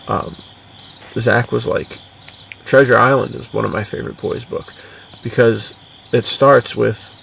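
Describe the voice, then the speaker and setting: A man's voice: medium pitch, gravelly, a bit bright. A man chatting casually as a podcast co-host, rambling and enthusiastic, with plenty of slang. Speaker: podcast co-host and fiction writer. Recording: distant mic with faint background noise.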